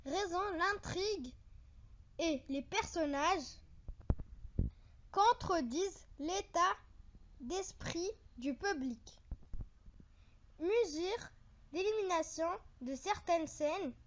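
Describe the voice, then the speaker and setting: Very high, childlike voice, slow halting narration. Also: lively intonation, fairly bright tone, lo-fi sound, slight background noise. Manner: halting phrase-by-phrase reading with casual asides to viewers. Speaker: video host. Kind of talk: informal countdown narration for an online video.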